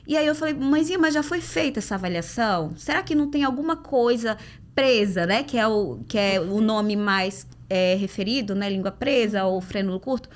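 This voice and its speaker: Brazilian woman hosting, mid-pitched voice. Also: animated, a little fast. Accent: Brazilian Portuguese. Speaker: podcast host. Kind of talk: conversational interview.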